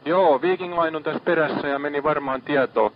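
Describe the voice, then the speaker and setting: A man working as a ship's radio operator, calm and businesslike, repeating figures back in radio procedure. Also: fairly high pitch. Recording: muffled archive marine radio.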